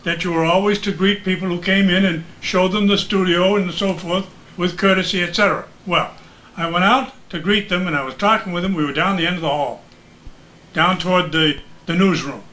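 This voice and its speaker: An older man reminiscing casually as a former radio broadcaster, an animated storyteller who acts out the exchanges he remembers. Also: high-pitched, fairly quick, poor audio. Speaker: retired radio broadcaster recalling his career.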